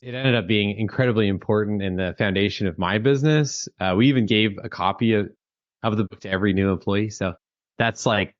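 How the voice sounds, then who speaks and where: A man's voice: fairly low pitch, dry recording. A Canadian man in his thirties, a startup founder talking casually as an interview guest, chatty with lots of fillers and restarts. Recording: dry, dull lo-fi call audio.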